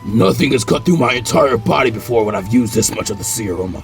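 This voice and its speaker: A man voicing a brutish, boastful male villain who shouts in a slow, gravelly growl. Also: medium pitch, animated, faint music behind, pitched below the performer's own voice. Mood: menacing.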